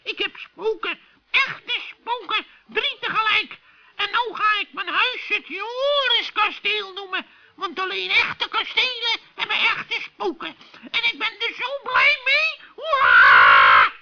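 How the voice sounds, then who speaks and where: A man voicing an excitable, proud and naive fish-horse creature in a children's radio play, gushing and exclamatory. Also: extremely high pitch, slow pace, vocal fry, raised voice, voice pushed up, full character voice. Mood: overjoyed, proud. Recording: dull, lo-fi old recording.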